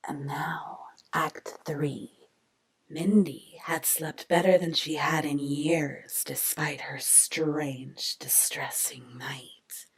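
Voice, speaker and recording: A woman narrating a scripted horror drama in a breathy, whispery, gravelly voice. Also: low-pitched, fairly even intonation, extremely slow, rough recording.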